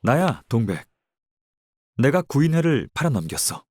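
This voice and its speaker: An actor playing a bitter, guilty betrayer in a game drama, speaking in a deliberate, taunting way. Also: male voice, mid-range voice, very animated, a little mellow, husky, no echo. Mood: confessing. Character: bitter, guilt-laden male betrayer making a confession.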